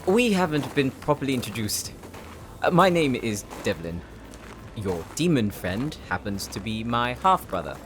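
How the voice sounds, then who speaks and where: Well-spoken, eager hunter played by a man, animated. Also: mid-range voice, normal speed, a little hiss or hum, close to an everyday voice. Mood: polite, eager. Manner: courteous, articulate, explanatory. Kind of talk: fantasy audio roleplay acting. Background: music.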